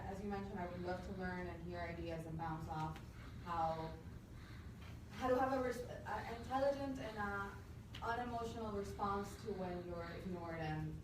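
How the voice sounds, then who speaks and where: Woman in her 30s, hesitant and chatty, far off the mic in a boomy noisy room. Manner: hesitant, conversational. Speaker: panel participant. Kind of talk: introducing herself at a discussion. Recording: distant, echoey, noisy room.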